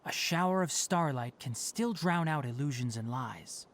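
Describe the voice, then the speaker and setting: A man voicing a thoughtful young scholar in a video game, speaking in formal, measured and articulate phrases. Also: mid-range voice, animated, a little hiss or hum, lightly acted. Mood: astonished, grave. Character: thoughtful young male scholar, calm and perceptive.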